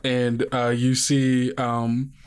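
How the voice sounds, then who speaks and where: A man narrating a tabletop game as game master in an improvised, informal, halting way. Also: medium pitch, monotone, smooth.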